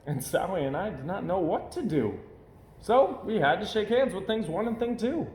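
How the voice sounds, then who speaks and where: A man's voice: high voice, big pitch swings, normal speed, a little mellow, echoey room. A man reading a children's story aloud as a storyteller, with theatrical, emphatic delivery of the rhymes.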